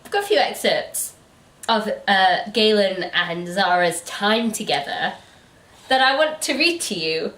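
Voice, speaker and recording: A woman's voice: mid-range voice, lively intonation, measured pace, fairly bright tone. A British woman chatting casually and informally as a book reviewer and host. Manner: giggly, informal. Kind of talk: casual chat. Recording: echoey room, distant mic.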